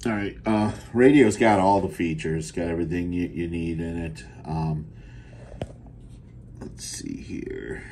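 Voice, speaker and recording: Casting: American male product demonstrator, low and relaxed, halting and matter-of-fact, unscripted, slightly noisy handheld recording. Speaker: seller demonstrating a restored CB radio. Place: indoors at a radio bench. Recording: noisy room, handheld camera mic.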